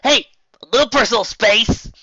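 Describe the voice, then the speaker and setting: A reader voicing a brash, boastful female pegasus pony in an audiobook reading, with a cocky, loud manner. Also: medium pitch, expressive, fairly quick, dry recording, highs cut off, voice pushed up.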